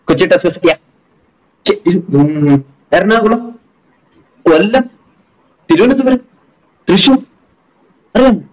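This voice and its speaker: A man's voice: high voice, a little slow, long pauses, rough recording. A young man with a Malayali English accent chatting casually on a video call, playful and teasing, with lots of hesitant fillers. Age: young adult. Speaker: video chat prankster. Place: small room, indoors.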